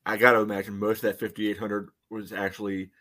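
Male co-host for sports chat, lowish voice, informal and conversational. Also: little pitch movement, quick pace, bright, forceful tone.

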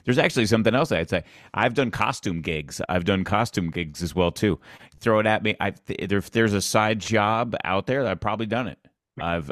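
A man's voice: sits low, fairly bright tone, dry recording, clean studio sound. A man chatting casually as an interview guest, rambling and self-deprecating. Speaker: podcast guest, comedian, actor and sports PA announcer.

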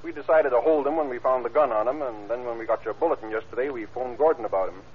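Muffled vintage radio sound frames an actor as a plain-spoken male police sergeant. His medium-pitched voice is obliging and matter-of-fact, in old crime-serial style. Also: fast, lightly acted. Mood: hearty. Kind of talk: 1940s-50s radio crime drama acting. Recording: dull vintage radio recording.

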